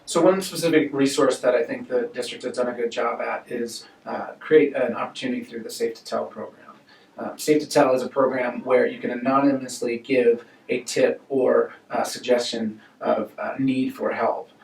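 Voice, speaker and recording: A man who works as a high school counselor, speaking in a documentary interview and pausing often with fillers. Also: steady tone, vocal fry. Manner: thoughtful, halting with many uh fillers. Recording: roomy, distant mic.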